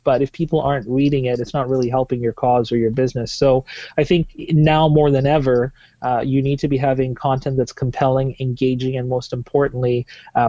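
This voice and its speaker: Man from Tanzania, mellow dry voice. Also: mid-range voice, no echo, highs cut off. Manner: fluent, with frequent "uh" fillers and restarts. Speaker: guest, marketing specialist. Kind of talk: podcast interview answers.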